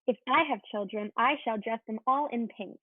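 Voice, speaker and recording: Husky, high schoolgirl on phone-like audio, woman acting. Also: moderate pace, dry recording, close to an everyday voice. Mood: dreamy, bossy. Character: girlish, opinionated, scornful teenage schoolgirl. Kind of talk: dramatic reading of a period play. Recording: thin phone-like sound, very dry.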